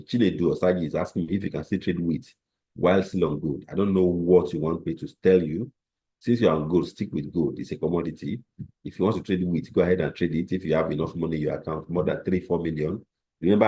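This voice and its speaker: A man's voice: deep voice, dark tone. A man hosting a live trading class, bluntly answering viewer comments.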